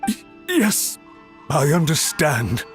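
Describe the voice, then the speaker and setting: An actor voicing an anxious, deferential male official in an anime game, husky and earnest, speaking with formal, apologetic politeness. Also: on the high side, sing-song, takes it slowly, soft background music. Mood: cowed, grateful.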